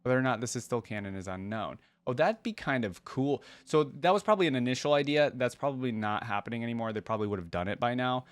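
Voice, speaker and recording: A man hosting a reaction video in an informal way, reading text aloud and thinking out loud about it. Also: medium pitch, lively intonation, fast talker, few pauses, clean tone, no room sound. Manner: informal, thinking aloud between read passages. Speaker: reaction channel host.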